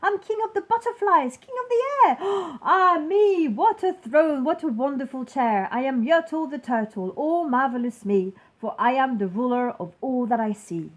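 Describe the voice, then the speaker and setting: A woman's voice: high-pitched, lively intonation, normal speed, hardly any pauses, poor audio. A woman voicing a pompous, bossy turtle king from a storybook, bellowing boasts and commands imperiously. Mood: exultant.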